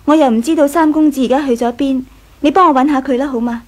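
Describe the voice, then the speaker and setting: A woman's voice: high-pitched, quick pace, lightly acted. A woman playing a gracious, composed young wife of a rich household in a Cantonese radio drama, with a Hong Kong accent. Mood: polite, brisk.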